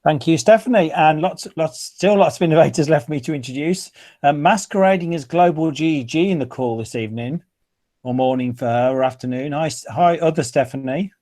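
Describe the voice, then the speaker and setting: Man, webinar host, mellow relaxed tone, hesitant introductions. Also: fairly high pitch, a little fast. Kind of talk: hosting a webinar. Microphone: video call.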